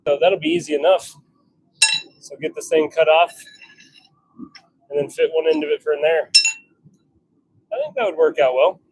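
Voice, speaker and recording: A man chatting casually on a live stream, thinking out loud as he works in his workshop. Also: a little high, wildly swooping pitch, normal speed, long pauses, husky, low-quality recording. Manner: chatty, thinking out loud while working at the forge. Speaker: livestream host, hobby blacksmith. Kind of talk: casual live-stream chat while working. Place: home forge workshop.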